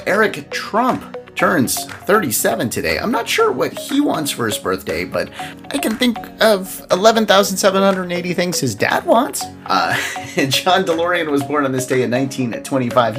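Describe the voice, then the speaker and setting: An American man hosting a podcast casually, with jokey asides in lightly scripted segments. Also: medium pitch. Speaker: podcast host.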